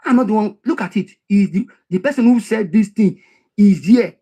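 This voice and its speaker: A man's voice: high voice, moderate pace, warm tone, breathy edge. A man reading passages from a history text and explaining them, repeating key phrases for emphasis. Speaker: history commentator.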